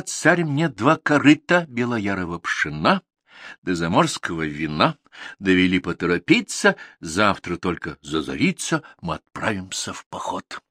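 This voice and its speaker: Man playing a little humpbacked horse, gentle, coaxing, slow singsong telling, close dry sound. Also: lowish voice, big pitch swings, no echo, exaggerated voice. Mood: gentle, wise.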